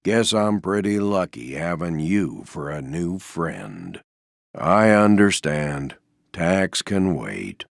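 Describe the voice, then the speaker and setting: A man voicing a gloomy, mild-mannered toy donkey for a video game, with a deep, gravelly voice and a glum, resigned, self-deprecating drawl. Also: sing-song, dry recording, studio quality, full character voice. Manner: slow, glum, resigned drawl with downcast understatement. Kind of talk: video game character voice lines.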